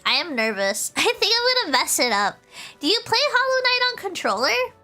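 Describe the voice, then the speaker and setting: A woman's voice: sits high, bright, forceful tone. A woman livestreamer chatting casually to her viewers in a bubbly, giggly way, often repeating her phrases. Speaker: VTuber livestreamer.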